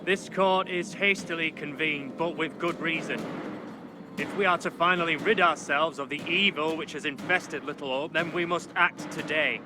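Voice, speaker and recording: A stern judge from a witch-trial video game, played by a man, his voice a little high for a man. He speaks in formal, old-fashioned language with full authority. Music runs clearly behind him. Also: moderate pace, natural voice. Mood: grave, accusing. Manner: formal, archaic, commanding courtroom speech. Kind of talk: dramatic video game acting.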